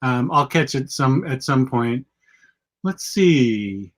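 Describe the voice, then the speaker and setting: A middle-aged man hosting a live chat show, sick, with a gravelly voice, rambling and correcting himself as he talks. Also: medium pitch, animated, slow pace. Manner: rambling, self-correcting, chatty. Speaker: podcast host.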